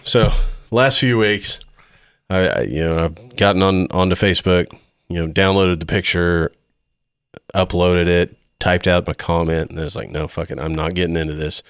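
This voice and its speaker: A man's voice: low voice, moderate pace, fairly bright tone, clear, resonant tone, no echo, clean studio sound. A man in his forties chatting casually as a guest on a podcast, rambling and swearing, with frequent laughs. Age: 40s. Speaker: band member guest. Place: band rehearsal room.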